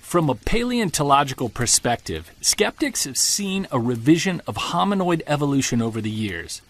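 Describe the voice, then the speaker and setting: An earnest, sardonic male Bigfoot believer played by an actor delivers an argumentative audio drama monologue. His slightly high voice swings with lively pitch as he presses each indignant point. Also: moderate pace, full character voice. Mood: earnest, lecturing. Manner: emphatic, argumentative, sardonic, rhetorical. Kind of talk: audio drama monologue, podcast-style rant.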